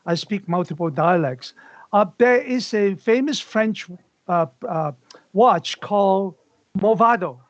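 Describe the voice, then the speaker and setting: High-voiced man on a muffled call. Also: slow, dry recording. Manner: chatty, hesitant, laughs while speaking. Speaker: panelist. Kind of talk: online panel discussion. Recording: slightly muffled, dull call audio.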